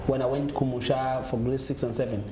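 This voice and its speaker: A man's voice: medium pitch, fairly even intonation, fast. Zimbabwean man in an echoey, noisy room. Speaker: gospel musician being interviewed. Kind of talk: conversational interview answers. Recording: echoey room with clear background noise.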